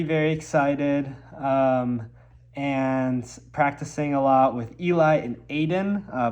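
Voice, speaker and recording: A man's voice: mid-range voice, darkish tone, muffled recording. A young man from Milwaukee, congested from a cold, rambling casually in a vlog with lots of ums and likes. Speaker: musician vlogger.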